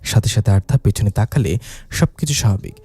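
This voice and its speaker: Guy telling a spooky story, really dark muffled tone, kinda husky, lowish. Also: brisk, no room sound. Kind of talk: dramatized narration of a ghost story.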